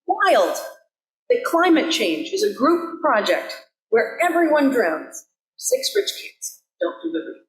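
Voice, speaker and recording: A woman minister reading a sermon in an earnest, measured way, with deliberate pauses between phrases. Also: high voice, big pitch swings. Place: church sanctuary, indoors. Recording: roomy echo of a sanctuary.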